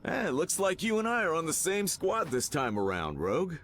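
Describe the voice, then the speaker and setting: A man's voice: a little high, wildly swooping pitch, moderate pace, a bit bright, a little hiss or hum, full character voice. An actor playing an earnest, hardworking everyman hero with casual, self-deprecating speech in a video game. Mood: earnest, easygoing. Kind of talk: video game character dialogue.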